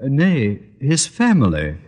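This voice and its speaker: Pompous older principal, florid theatrical phrasing, lively swooping pitch, old radio comedy, long hall reverb. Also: male voice, slow, slightly rough, full character voice. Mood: pompous, solicitous. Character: pompous, blustering, syrupy old school principal.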